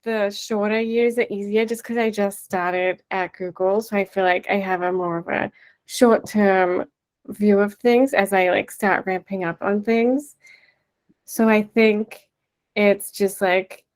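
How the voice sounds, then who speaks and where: A woman's voice: medium pitch, fairly even intonation, a little slow, a little mellow. A woman taking part in a workshop, speaking hesitantly with fillers and small laughs. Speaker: workshop participant. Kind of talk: casual chat.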